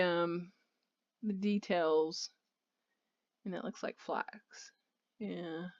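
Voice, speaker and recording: Woman, slightly low voice, casual game commentary, thinking aloud, muffled lo-fi home recording. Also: creaky. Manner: rambling, thinking aloud while playing. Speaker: video game streamer. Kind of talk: casual let's play commentary.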